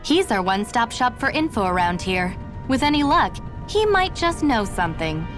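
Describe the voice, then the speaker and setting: Playful, animated young resistance woman. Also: on the high side, normal speed, music in the background. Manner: teasing, friendly, coaxing. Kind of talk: video game voice acting.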